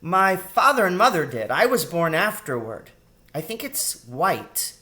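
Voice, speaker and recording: High-pitched young girl rat, man acting it out, childish, excitable, chatty, naive, storybook read-aloud. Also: a little slow, full character voice. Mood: eager explaining. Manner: childish, excitable, suspicious questioning.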